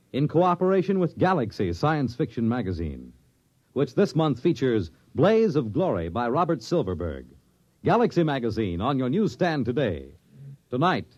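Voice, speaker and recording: A man's voice: a little high, a little mellow, dull audio. A man announcing on a 1950s network radio program in a formal, emphatic delivery. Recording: old radio broadcast with music.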